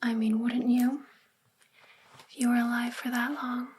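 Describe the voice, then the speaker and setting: A woman playing a sweet witch librarian in an ASMR roleplay, speaking gently in a soft, breathy near-whisper. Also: fairly high pitch, little pitch movement, a little hiss or hum, close to an everyday voice. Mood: gentle, chatty. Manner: gentle, unhurried, friendly. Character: sweet young female witch librarian in a magical town. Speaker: ASMR performer.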